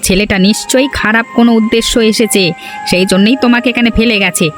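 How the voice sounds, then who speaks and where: Cartoon casting: protective grown-up villager female performer, earnest reassuring delivery over soft music. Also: on the high side, fairly even intonation, fast talker, lightly acted. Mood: protective warning. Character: kindly, protective adult villager who rescues a child. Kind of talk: cartoon dub acting.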